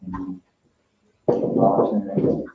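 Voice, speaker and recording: A man's voice: low-pitched, monotone, measured pace. A man leading a meeting discussion in casual talk. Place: echoey meeting room, picked up from afar. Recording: roomy, distant, muffled audio with faint noise.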